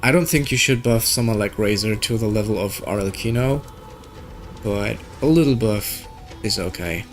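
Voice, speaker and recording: A man's voice: lowish voice, moderate pace. A man giving casual, rambling, opinionated commentary as he streams a video game. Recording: game music behind the voice. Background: video game music.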